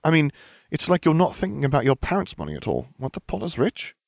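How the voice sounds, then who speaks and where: A man's voice: on the high side, wildly swooping pitch, quick pace, gravelly, dry recording, clean studio sound, pitched above the performer's own voice, heavily acted. A man voicing a blunt, slightly sulky young wizard boy.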